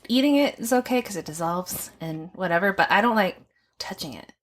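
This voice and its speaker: A woman with a medium-pitched voice chats casually as a podcast co-host. She speaks in short reactions and offhand asides. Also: expressive, a little fast.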